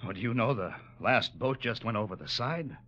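An actor playing a tense, bewildered ship's officer in a mid-century radio drama, moving between suspenseful narration and urgent dialogue. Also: male voice, on the low side, lively intonation, moderate pace, fairly bright tone. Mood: disbelieving, tense. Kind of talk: 1950s radio drama acting. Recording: dull old radio broadcast recording.

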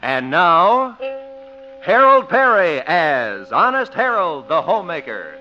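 A man's voice: on the high side, very animated, slow pace, over music. A male radio announcer delivering scripted ads and narration in a punchy, polished, emphatic 1950s style. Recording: dull vintage 1950s radio recording.

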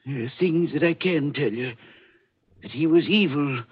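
A man playing a frightened, guilt-ridden former state executioner in 1940s radio mystery style, halting and dramatic. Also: on the high side, breathy edge. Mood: haunted, defensive. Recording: muffled old radio recording.

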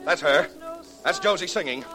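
Desperate businessman for a male actor: agitated, insistent, high and swooping, old radio melodrama with music behind. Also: lively intonation, normal speed, natural voice. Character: desperate, insistent wealthy businessman, lovestruck husband. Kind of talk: 1940s radio drama acting.

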